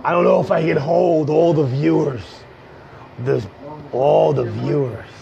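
A young man, hoarse from a cold, rambling casually to viewers on a livestream from a coffee shop. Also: a little high, unhurried, mellow. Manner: rambling, slangy, talks straight to viewers. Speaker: comedian livestreaming. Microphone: earbud headset mic on a phone. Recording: dull lo-fi phone stream.

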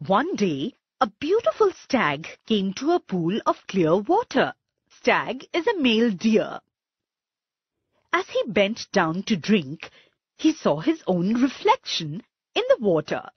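Lady storyteller for kids, really slow n careful, bit husky, teachy. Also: mid-range voice, no room sound, dull audio. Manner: expressive, instructional. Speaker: storyteller, narrator. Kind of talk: scripted children's story narration with word definitions.

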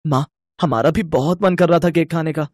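A woman's voice: low-pitched, a little fast, a little mellow, a little hiss or hum, lightly acted. A woman voicing a poor, hungry little village boy in a storybook tale, childlike and eager. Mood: wistful, curious.

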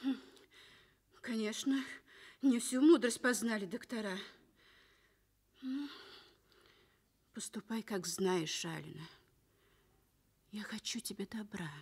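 An actress playing a worried, blunt young woman, a concerned friend, in a measured Soviet radio drama style. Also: mid-range voice, a little mellow, husky. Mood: resigned.

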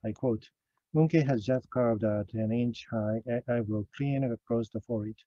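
Man, careful halting lecture. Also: mid-range voice, dark tone, dry recording, dull audio. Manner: slow, careful, with frequent hesitations and self-corrections. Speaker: academic speaker. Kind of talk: recorded conference lecture.

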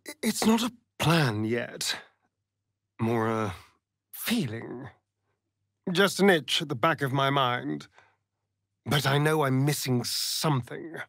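An actor playing a vain, sardonic vampire rogue in a video game, with an arch, drawling, theatrical delivery. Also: male voice, mid-range voice, lively intonation, takes it slowly, vocal fry, pauses often, a bit bright, no echo, studio quality, heavily acted. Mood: pensive. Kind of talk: video game character acting.

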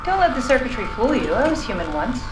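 A woman acting a brisk, matter-of-fact scientist living as a robot in a video game. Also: fairly high pitch, very animated, echoey room, noisy background. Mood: calm.

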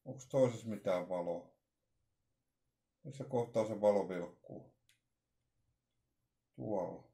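A man's voice: on the low side, gravelly, dark tone. A man in his forties casually unboxing walkie-talkies at home, thinking aloud and trailing off with many fillers and restarts. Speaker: vlogger unboxing radios. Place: small room at home with shelves. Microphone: webcam at desk.

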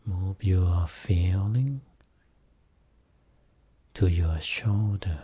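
Very slow, low-voiced male meditation guide. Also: dark tone, breathy edge. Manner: slow, soothing, short phrases with long pauses. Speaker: Buddhist meditation teacher.

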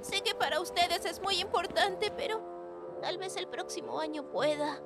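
A woman's voice: very high voice, normal speed, loud music behind the voice. A woman voicing an anxious, bookish young cartoon girl who is earnest and easily flustered. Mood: panicked. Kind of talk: Spanish-dubbed cartoon voice acting.

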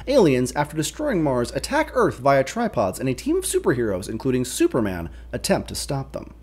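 A man's voice: mid-range voice, wildly swooping pitch, brisk. A young man co-hosting a scripted explainer, narrating as he presents. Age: young adult. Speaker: co-host.

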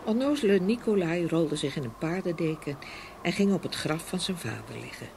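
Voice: medium pitch, expressive, a little fast, creaky. A storyteller giving a dramatic scripted narration of a fairy tale. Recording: faint ambient noise behind voice.

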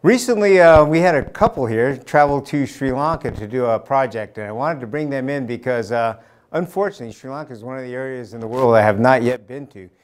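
A man in his sixties hosting a community TV interview in a small studio, friendly and quick to laugh. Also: medium pitch. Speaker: host.

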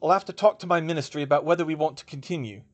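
Man playing a needling, suspicious male diplomat, raised pitch. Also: very fast, lo-fi sound, lightly acted. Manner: pointed, challenging questions. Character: male Nordic ambassador, challenging, suspicious, needling.

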